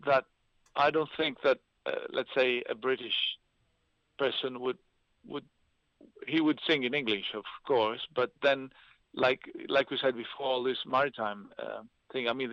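A man's voice: mid-range voice, steady tone, normal speed, dry recording. A man from Greece, Italian as first language, talking hesitantly with many fillers as a musician guest in an interview. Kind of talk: interview over a call. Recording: dull, dry line.

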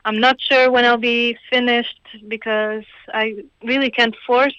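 A woman's voice: a little high, level tone, unhurried, sharp tone, smooth, no room sound, highs cut off. A woman from Croatia, a singer-songwriter answering interview questions in a hesitant, thoughtful way with small laughs. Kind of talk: radio interview guest.

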